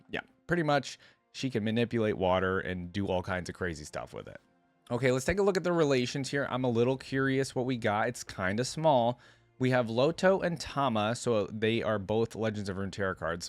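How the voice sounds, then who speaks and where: A man's voice: mid-range voice, no echo. A man hosting a reaction video, talking informally and musing aloud as he comments and reads along.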